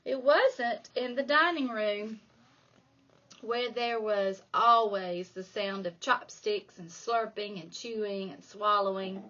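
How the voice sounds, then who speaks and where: A woman reading a children's storybook aloud like a primary school reader, with dramatic emphasis and drawn-out key words. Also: medium pitch, sharp tone, lo-fi sound. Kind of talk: expressive children's storybook narration.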